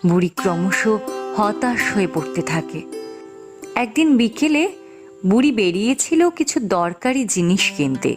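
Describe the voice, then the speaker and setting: A woman's voice: mid-range voice, sing-song, normal speed, music in the background. A woman giving scripted storybook narration as the narrator of a fairy tale.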